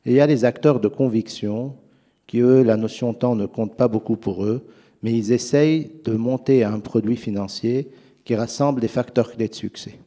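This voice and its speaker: A man's voice: mid-range voice, normal speed, warm tone. A man whose first language is not French, speaking earnestly and persuasively as a finance panelist at a conference.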